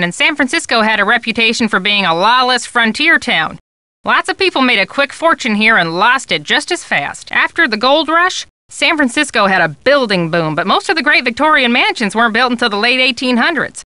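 A woman's voice: fairly high pitch, very animated, a little fast, bright, forceful tone, no room sound. An actress playing a chatty, scatterbrained woman photojournalist who gushes and rambles, in a video game. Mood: chatty, informative. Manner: gabby, gushing, rambling. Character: chatty, warm, scatterbrained woman photojournalist, easily carried away. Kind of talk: video game character dialogue.

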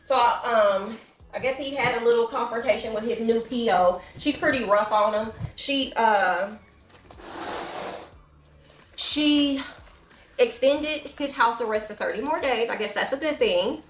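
A woman talks to her viewers while cleaning her living room. Her voice sits a little high, and her talk is chatty and gossipy. The camera stands across an echoey room. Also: unhurried. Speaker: vlogger. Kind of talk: casual chat while cleaning. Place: home living room with hardwood floor. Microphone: camera set up across the room.

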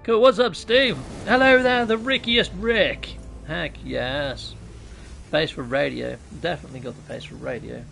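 A man's voice: on the high side, a little slow, gravelly, a bit bright, faint music behind, poor audio. A British man in his thirties chatting casually with viewers while live-streaming a game, joking and poking fun at himself, on a USB mic set on his laptop in a small room at home. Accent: British English. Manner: jokey, self-mocking, chats with viewers. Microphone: USB mic on top of a laptop.

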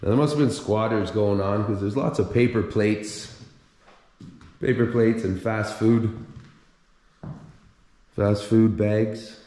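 A man's voice: on the low side, steady tone, takes it slowly, long pauses, dark tone. A man touring an abandoned house and thinking aloud as he walks through with a handheld camera. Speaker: urban explorer vlogger. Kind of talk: casual walkthrough narration. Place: empty abandoned house indoors. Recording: echoey empty rooms, faint hiss.

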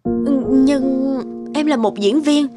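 A woman's voice: high voice, takes it slowly. A woman playing a self-absorbed, persuasive actress wife in a cartoon, breezy and insistent. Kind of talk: Vietnamese cartoon voice acting. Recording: music behind the voice.

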